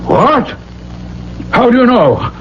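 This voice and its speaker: An actor playing a hot-tempered, gruff, impatient older rancher father in a 1970s radio drama. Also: extremely high pitch, wildly swooping pitch, slow pace, exaggerated voice. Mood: indignant, blustering. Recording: muffled, faintly noisy vintage radio broadcast.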